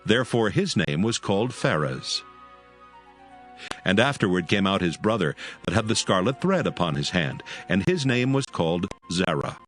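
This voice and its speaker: Low-voiced man narrating scripture over music. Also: sing-song, normal speed, fairly bright tone.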